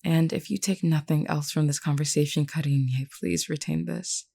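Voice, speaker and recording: A woman playing a composed, kindly vampire queen in a scripted roleplay audio, speaking in a measured, formal, earnest and reassuring way. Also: deep voice, steady tone, gravelly, dark tone. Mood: earnest, solemn. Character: composed, kindly vampire queen, solemn but caring leader.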